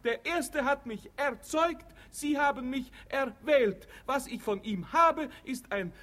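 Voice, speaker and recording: An actor in a comic radio play voicing a dim, pompous young doctor's son who recites flowery speeches in a stilted, halting way. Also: male voice, very high-pitched, bright, forceful tone, heavily acted. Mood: stilted, nervous. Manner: stilted recitation, halting, stumbling over memorised lines.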